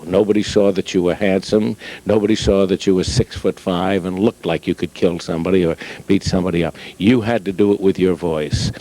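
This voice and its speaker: Deep-voiced older man. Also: darkish tone, poor audio. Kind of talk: reminiscing to an audience.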